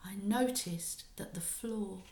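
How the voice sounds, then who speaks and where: A woman reading a children's story aloud like a primary school teacher, with expressive, emphatic dramatic narration. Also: mid-range voice, takes it slowly, reverberant, noisy background.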